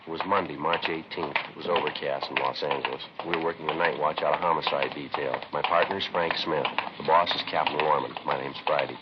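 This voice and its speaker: An actor playing a businesslike, unflappable police detective, deadpan, terse and polite, in 1950s radio style. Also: male voice, a bass voice, brisk, hardly any pauses. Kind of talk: 1950s radio acting, clipped narration. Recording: noisy, muffled 1950s radio recording.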